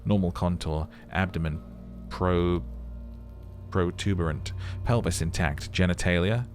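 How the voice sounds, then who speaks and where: A man playing a calm, methodical police lieutenant in a video game, dictating findings in a dry, matter-of-fact way. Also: sits low, a little slow, soft background music. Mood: deliberately boring. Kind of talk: video game character voice acting.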